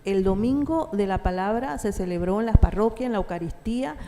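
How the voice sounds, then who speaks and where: A woman giving a measured, thoughtful talk to a parish audience, pausing with fillers and asking the listeners questions. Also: medium pitch. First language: Spanish. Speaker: parish speaker at a church talk. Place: parish hall or church. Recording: distant echoing church hall with faint noise.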